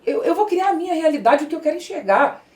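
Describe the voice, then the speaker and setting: Fast-talking Brazilian woman hosting, roomy echo. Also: very high-pitched, expressive, darkish tone. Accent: Brazilian Portuguese. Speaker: podcast host, interviewer. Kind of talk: conversational interview.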